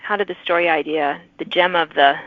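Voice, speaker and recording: A woman's voice: low voice, fairly even intonation, brisk, dry recording. A woman hosting a podcast, interviewing in a conversational, chatty and encouraging way. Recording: narrow, phone-like sound.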